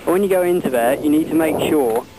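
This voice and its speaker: A man gives technical help over the phone at a brisk clip. He explains things patiently, step by step, and tries to placate. Clear noise runs under the whole call. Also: a little high. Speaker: helpline technical support agent. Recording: noisy telephone call recording.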